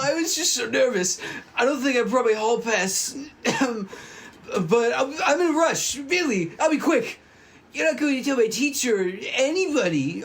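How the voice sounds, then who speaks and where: Adult actor as anxious young schoolboy: very high, swooping pitch, stammering, rambling, easily scared. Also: a bit bright. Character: nervous, frightened young schoolboy pleading with stranger. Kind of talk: amateur table-read acting.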